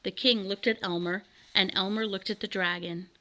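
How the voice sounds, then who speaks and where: A woman reading a children's story aloud as an expressive narrator. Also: medium pitch, normal speed. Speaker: children's book reader.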